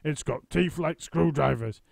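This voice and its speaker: A man voicing a grumpy, nasty old husband who talks in a gruff, sneering way. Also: a little high, unhurried, darkish tone, no room sound, studio quality, voice pushed up.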